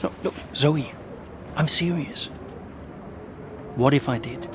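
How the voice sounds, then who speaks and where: A man voicing a seasoned racing driver near the end of his career, guarded and measured in how he speaks. His voice sits at an ordinary middle pitch. A noticeable layer of background noise runs under the game cutscene. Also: lightly acted. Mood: defensive. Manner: measured, quietly advising. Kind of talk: video game cutscene acting.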